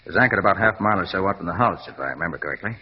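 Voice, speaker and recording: An actor playing a brisk, confident American private detective in clipped old-time radio drama style. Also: male voice, low-pitched, expressive, breathy edge. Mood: thoughtful. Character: brisk, self-assured male private detective. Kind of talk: 1940s radio detective acting. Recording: dull, noisy old radio recording.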